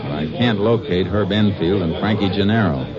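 Male actor as steady Ranger boss, low voice, terse orders, vintage radio drama, muffled with music. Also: moderate pace, over a noisy crowd, natural voice. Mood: measured, firm. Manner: terse, authoritative. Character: steady, commanding Texas Ranger lawman in charge. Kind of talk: 1950s radio crime drama acting.

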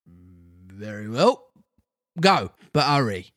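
A man voicing gruff, stern male police officers in a storybook reading, slow, clipped and officious. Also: medium pitch, big pitch swings, fairly bright tone, no room sound, studio quality, heavily acted.

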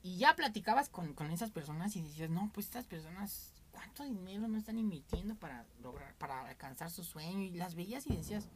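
A man's voice: high voice, animated, a little fast, few pauses, fairly bright tone, slight background noise. A young Mexican man with a Mexican accent, telling candid stories in a casual, rambling podcast chat as its host.

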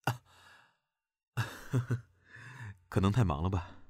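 Determined young male officer played by a man, polite, very low, close dry sound, audio drama. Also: expressive, takes it slowly, a little mellow, no echo, exaggerated voice. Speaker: voice actor. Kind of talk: modern Chinese audio drama acting.

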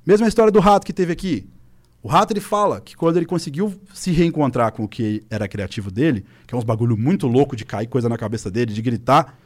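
Fast-talking man telling stories. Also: mid-range voice. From Minas Gerais, Brazil. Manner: animated storytelling, frequent filler words. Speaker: podcast guest, esports coach. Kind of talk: casual podcast chat. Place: podcast studio.

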